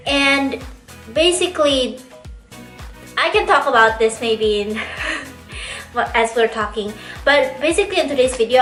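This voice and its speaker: A woman in her twenties from Indonesia chats reflectively to camera in her bedroom, now and then laughing softly mid-sentence. Her voice sits a little on the high side. The room is echoey, with faint music playing underneath. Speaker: vlogger. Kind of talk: casual chat to camera.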